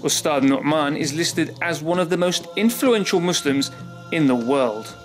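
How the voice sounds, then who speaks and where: A man's voice: fairly high pitch, animated, a little slow. A man hosting an interview show, opening with a formal introduction and asking questions. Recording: faint music behind.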